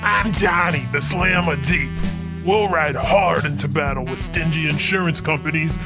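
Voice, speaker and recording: Guy doing swaggering ad lawyer, slightly high male voice, loud guitar music. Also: normal speed, close to an everyday voice. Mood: swaggering, salesy. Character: swaggering, innuendo-laden male injury lawyer. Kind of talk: parody commercial pitch.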